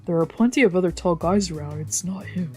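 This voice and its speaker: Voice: medium pitch, a little fast. A streamer reading a visual novel aloud as brooding first-person dramatic narration, with music behind. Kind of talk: dramatic narration with character voices.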